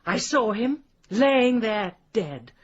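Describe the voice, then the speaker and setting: Spiteful English village murderess, theatrical and condescending, husky voice, slightly muffled sound. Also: female voice, fairly high pitch, lively intonation, slow pace. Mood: bitter, reminiscing. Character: gloating, spiteful village woman poisoner. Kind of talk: radio drama acting.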